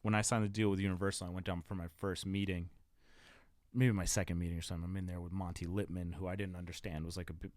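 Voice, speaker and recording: Low-voiced man hosting a podcast. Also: no room sound. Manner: excited, reminiscing, quick to jump in. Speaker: podcast host. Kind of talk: casual interview chat.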